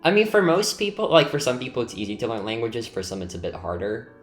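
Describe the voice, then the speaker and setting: A man who is a native Lithuanian speaker chats informally in a roomy, echoing space with music behind him. He rambles and hesitates as he goes, with a middling pitch. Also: very animated, fast talker. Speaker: video creator and builder hosting a group chat. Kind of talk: casual chat over a game build video. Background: background music.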